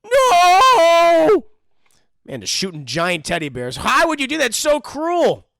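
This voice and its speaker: A man's voice: extremely high pitch, wildly swooping pitch, takes it slowly, creaky, fairly bright tone, no echo. A man casually joking through a cartoon episode commentary, often mock-voicing the characters. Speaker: commentary host.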